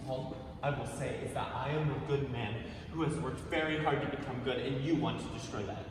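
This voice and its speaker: A man's voice: medium pitch, steady tone, brisk, natural voice. A man playing a repressed, devout, defensive young husband in a live dramatic stage reading. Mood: defiant. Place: large reverberant museum hall. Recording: echoey hall from far off, noisy.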